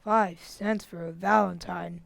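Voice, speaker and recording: A woman's voice: fairly low pitch, steady tone, unhurried, gravelly, no room sound. A woman playing a bossy, know-it-all older boy in an old-time radio family comedy. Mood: superior, matter-of-fact. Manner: superior, matter-of-fact.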